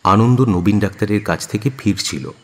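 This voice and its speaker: A man's voice: on the low side, moderate pace. A man narrating a dramatised audio story as the storyteller. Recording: long hall-like reverb.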